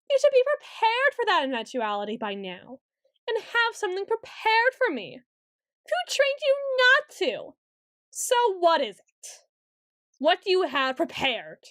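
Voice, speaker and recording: A woman's voice: very high-pitched, no room sound, studio quality, exaggerated voice. A woman voicing a haughty, centuries-old tsundere vampire noble in an ASMR roleplay, with theatrical, formal and condescending phrasing. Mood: indignant.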